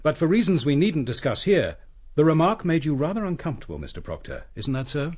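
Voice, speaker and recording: An actor playing a coolly brilliant British police inspector in a detective radio drama, husky, measured and deliberate. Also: male voice, on the high side, sing-song, a little mellow. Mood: probing. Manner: measured, deliberate, theatrical reconstruction of events.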